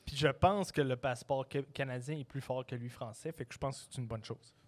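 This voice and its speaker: A male podcast host with a Quebec French accent speaks at an ordinary mid pitch. His talk is informal and colloquial, dropping in short questions and reactions. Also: fairly quick. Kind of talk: casual chat.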